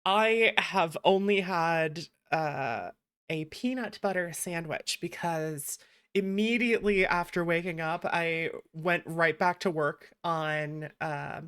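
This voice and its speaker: Female streamer, slightly low pitch. Casual, chatty, animated and teasing, happy to swear. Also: slow pace, smooth. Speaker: livestreamer, VTuber host. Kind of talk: casual livestream chat.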